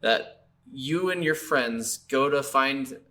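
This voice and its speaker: Guy w bright sharp voice, normal pitch, casual game podcast cohost, easily amused. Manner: amused, quick to laugh. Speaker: podcast co-host reviewing video games. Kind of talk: casual, unscripted chat.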